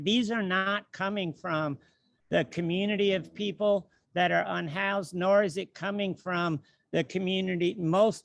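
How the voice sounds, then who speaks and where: A man's voice: fairly high pitch, a bit bright, dry recording. An older man speaking off the cuff as an advocate on a panel, emphatic and exasperated as he makes his case. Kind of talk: impassioned unscripted advocacy talk.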